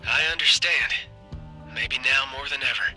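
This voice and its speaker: An actor playing a bounty hunter's loyal, easygoing sidekick in a video game, plain-spoken and familiar. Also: male voice, mid-range voice, normal speed, pushed and bright, poor audio. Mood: earnest, supportive. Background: music.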